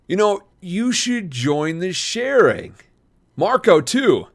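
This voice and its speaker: A man's voice: high voice, big pitch swings, slow pace, voice pushed up, full character voice. A man voicing a cocky teenage older brother who acts overly friendly but hides something sinister.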